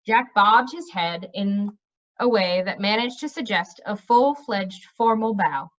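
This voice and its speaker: A woman's voice: mid-range voice, expressive, measured pace, a bit bright, muffled recording. A woman narrating a storybook aloud.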